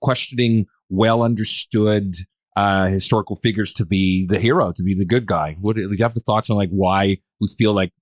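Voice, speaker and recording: A man hosting a podcast, posing questions in a casual, rambling way with halting, restarted phrases. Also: lowish voice, fairly even intonation, no room sound. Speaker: podcast host.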